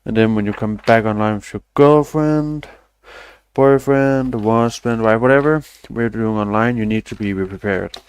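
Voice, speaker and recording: A man's voice: on the low side, moderate pace, clean tone, dry recording. A young man, chatting loosely on a stream, rambling and swearing casually with self-deprecating asides. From Denmark. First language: Danish.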